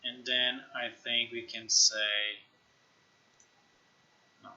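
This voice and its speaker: Very bright-voiced man thinking aloud on stream. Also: mid-range voice, level tone, highs cut off. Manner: thinks aloud, informal, halting. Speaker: live streamer, game developer. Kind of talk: casual live-stream chat while demonstrating software.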